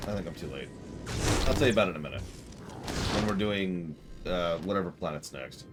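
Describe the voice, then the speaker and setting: A man's voice: deep voice, a little fast, noisy background. A man giving casual live commentary as a game streamer, rambling and thinking aloud. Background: loud game music.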